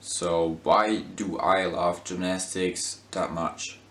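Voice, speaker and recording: Deep-voiced man in an echoey room. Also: takes it slowly. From Germany. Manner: steady, motivational. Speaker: gymnast.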